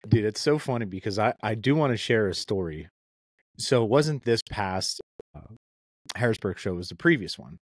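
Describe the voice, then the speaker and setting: A man's voice: lowish voice, animated, normal speed, dry recording. A young man hosting a podcast, chatting casually and rambling in a friendly way, often calling his guest "man" and "dude". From Pennsylvania. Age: young adult. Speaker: podcast host.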